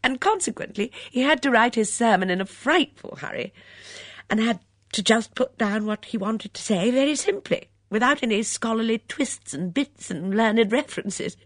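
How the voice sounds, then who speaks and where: A woman's voice: a little high, expressive, normal speed, no room sound, studio quality, pitched above the performer's own voice. A woman voicing a gushing, chatty vicar's wife in an audiobook, breathless and rambling in manner. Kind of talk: audiobook character acting.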